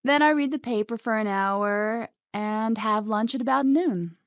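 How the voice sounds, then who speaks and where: A woman's voice: fairly high pitch, unhurried, no room sound, studio quality. A woman voicing a matter-of-fact TV announcer chatting in a scripted English lesson dialogue. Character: matter-of-fact female TV announcer in everyday chat.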